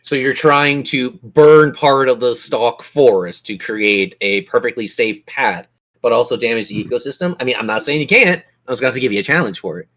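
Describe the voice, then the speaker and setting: A man's voice: medium pitch, a little fast, a bit bright. Theatrical man running a tabletop game. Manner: theatrical, descriptive storytelling. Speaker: game master. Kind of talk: narrating a tabletop role-playing game.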